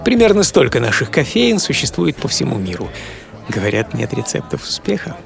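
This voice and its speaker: Man playing passionate dreamy businessman, mid-pitched creaky voice, theatrical savouring delivery, audio drama monologue, music underneath. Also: huge pitch swings, brisk, heavily acted. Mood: confident, inspired. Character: dreamy, passionate American coffee businessman reminiscing. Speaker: author and narrator of a radio programme. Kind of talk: dramatised first-person audio storytelling. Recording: studio voice over music.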